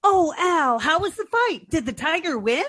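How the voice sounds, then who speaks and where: A woman's voice: very high voice, big pitch swings, moderate pace, a bit bright, heavily acted. A woman playing a naive, scatterbrained young woman with guileless earnestness in a 1940s-style radio comedy. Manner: guileless, dim-witted earnestness.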